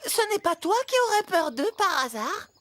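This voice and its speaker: A woman's voice: extremely high pitch, sing-song, moderate pace, a bit bright, heavily acted. A woman voicing a playful, pushy little kid vehicle, mischievous and eager, in a children's cartoon dub. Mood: eager, teasing.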